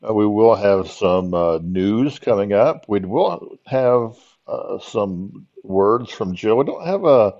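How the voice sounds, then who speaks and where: A man's voice: fairly low pitch, expressive, slow pace, darkish tone. A man hosting a podcast in casual, unscripted chat, hesitant and rambling. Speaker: podcast host. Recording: slightly dull, lo-fi sound.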